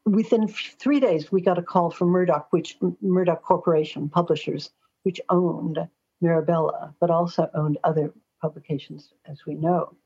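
A mature American woman with a husky voice that sits a little low. She talks as an interview guest, plain-spoken and anecdotal. The recording is a bit muffled and lo-fi. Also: fairly even intonation, normal speed, warm tone. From Los Angeles, United States. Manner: forthright, chatty, anecdotal. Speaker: astrologer and columnist, interview guest. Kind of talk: conversational interview storytelling. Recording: slightly dull, lo-fi audio.

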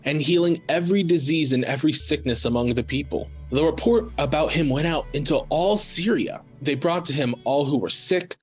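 A man giving a scripted, dramatic scripture narration with measured, solemn, deliberate phrasing. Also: medium pitch. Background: faint music.

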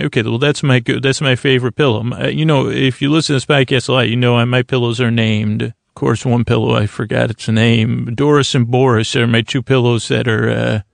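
A man hosting a bedtime podcast in a creaky, lulling voice, meandering and halting as he blathers soothingly. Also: mid-range voice, no echo. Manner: meandering, halting, repeats himself. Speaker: host of a bedtime story podcast. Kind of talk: rambling bedtime monologue, soothing.

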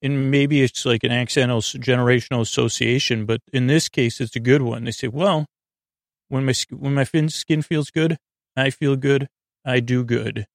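A man hosting a bedtime podcast in a meandering, halting way, with improvised digressions and words that trail off unfinished. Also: mid-range voice, fairly even intonation, creaky, dry recording, clean studio sound. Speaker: podcast host. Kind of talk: rambling improvised bedtime monologue.

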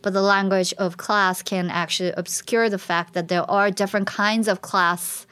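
A woman's voice: medium pitch, level tone, normal speed, a bit bright, clean tone. A woman researcher explaining her work in an interview, thoughtful and explanatory, often restarting her sentences. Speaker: researcher guest. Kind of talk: academic interview talk.

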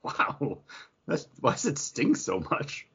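Husky guy podcast host, lively up and down voice, casual joking chat, kinda dull lo-fi audio. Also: fairly high pitch, takes it slowly. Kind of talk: casual comic banter about pop culture.

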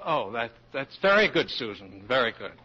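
A man's voice: medium pitch, sing-song, normal speed, pushed and bright, husky, full character voice. A man playing a college professor in a 1940s radio drama. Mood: polite. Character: pleased male college professor. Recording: dull lo-fi 1940s radio broadcast.

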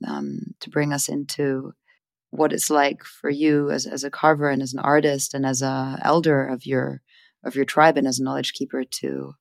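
Woman host, reflective interviewer. Flat delivery, dry sound, long thoughtful sentences. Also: low voice, gravelly, no room sound. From Sweden or Switzerland. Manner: earnest, searching, long winding sentences.

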